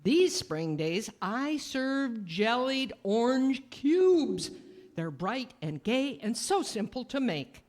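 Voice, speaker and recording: A woman's voice: a little high, sing-song, slow, darkish tone. A woman reading a scripted 1930s-style radio advertisement in a folksy, emphatic manner. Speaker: commercial announcer.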